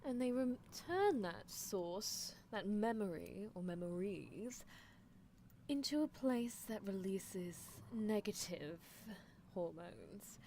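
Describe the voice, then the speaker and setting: An obsessive female mad scientist, played by a woman in an ASMR roleplay, speaking in a mid-pitched voice. She coaxes and talks down to her captive love interest with menacing affection. There is faint but steady noise in the recording. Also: takes it slowly. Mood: clinical, possessive. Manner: coaxing, condescending, menacingly affectionate.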